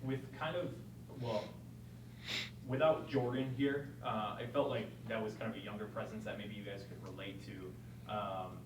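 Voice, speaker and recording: A man's voice: lowish voice, fairly even intonation, moderate pace. A man working as a reporter, asking informal, winding questions at a press conference in a hesitant way. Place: press conference room, away from microphone. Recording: distant, echoey, noisy room pickup.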